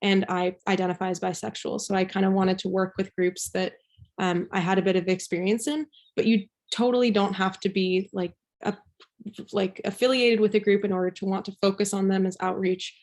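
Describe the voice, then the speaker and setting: A young woman from Belgium presents information in a casual, student-to-student way. Her voice sits at a medium pitch, and she sounds keen and informal as she explains things. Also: steady tone, brisk, darkish tone. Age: young adult. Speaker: student peer counselor presenting.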